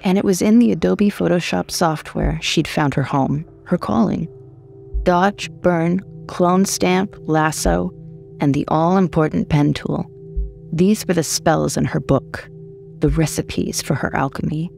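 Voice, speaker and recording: A woman's voice: fairly low pitch, measured pace, vocal fry, darkish tone. A woman narrating scripted horror fiction in a dramatic, wry storytelling manner. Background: faint music.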